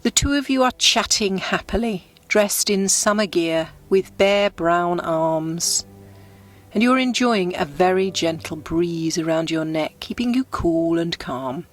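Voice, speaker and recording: A woman narrates a scripted guided meditation in a gentle, unhurried, descriptive storytelling manner. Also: on the low side, lively intonation. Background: background music.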